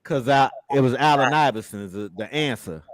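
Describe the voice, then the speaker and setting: A man's voice: medium pitch, normal speed, no echo, low-quality recording. A man in his fifties hosting a casual sports podcast, easygoing and steering the talk along. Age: 50s. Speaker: podcast host.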